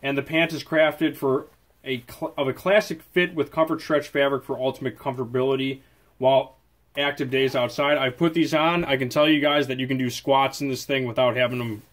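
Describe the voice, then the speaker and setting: A man's voice: medium pitch, steady tone, brisk, fairly bright tone. A man giving a casual, off-the-cuff product review at a desk, often correcting himself as he goes. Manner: chatty, off-the-cuff, with self-corrections. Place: indoors at a desk.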